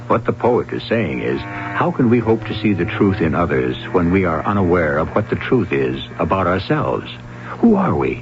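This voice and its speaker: Radio mystery host, low voice, lively delivery, dry humor, over music. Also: male voice. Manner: wry, measured, theatrical. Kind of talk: scripted dramatic narration. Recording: muffled old radio broadcast with music.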